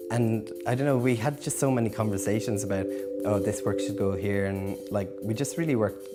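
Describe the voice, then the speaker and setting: A man, a sculpture student, talking in a documentary interview in a hesitant way, with many pauses and ums. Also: on the low side, loud music behind the voice.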